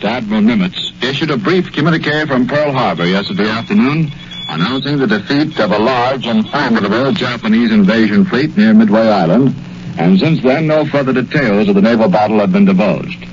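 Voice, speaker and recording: A man's voice: mid-range voice, animated, a little fast. Man reporting through noisy, muffled 1940s radio. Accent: American. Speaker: war correspondent.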